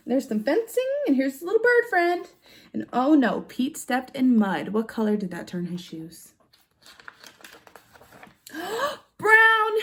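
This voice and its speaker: A woman with a high voice reads a children's picture book aloud. Her pitch swoops widely as she exclaims and puts simple questions to her young listeners. The delivery is animated and sing-song, made for storytime.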